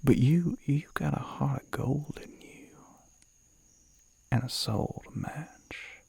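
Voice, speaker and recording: A man playing a suave, flirty male demon in an ASMR roleplay, with a Southern American accent, a breathy, gravelly voice that often drops to a whisper, and a teasing, coaxing drawl. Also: medium pitch, slow pace, warm tone, dry recording, heavily acted. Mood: flirty, charming.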